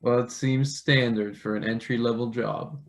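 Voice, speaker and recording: A man's voice: mid-range voice, fairly even intonation, normal speed, gravelly, slight background noise, lightly acted. A man playing an eager, hesitant young male intern with dry wit in a stage play table read. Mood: tentative, wry.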